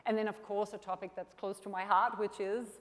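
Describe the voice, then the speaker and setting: A middle-aged woman from Denmark, an insurance executive speaking on stage, with thoughtful, slightly halting phrasing. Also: medium pitch, level tone. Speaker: panel guest, insurance executive. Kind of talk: onstage conversation. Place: conference stage. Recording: reverberant conference hall.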